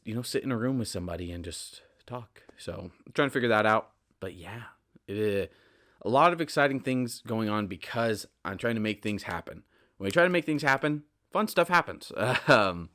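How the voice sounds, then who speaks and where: A young man with a fairly low voice talks casually as a solo podcast host. He rambles and interrupts himself, repeating words as he goes. His delivery is animated, moving between emphatic bursts and quieter asides. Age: 20s.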